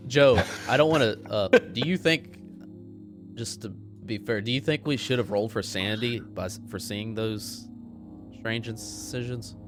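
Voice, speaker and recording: A man with a fairly low voice plays a tabletop roleplaying game. He talks casually about the play, with music clearly heard underneath. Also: unhurried.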